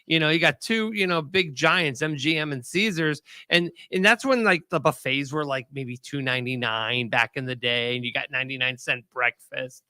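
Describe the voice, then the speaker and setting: A man's voice: mid-range voice, normal speed, hardly any pauses, sharp tone, clean tone, dry recording. A man who works in radio, hosting a casual chat show in an upbeat way. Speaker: radio host.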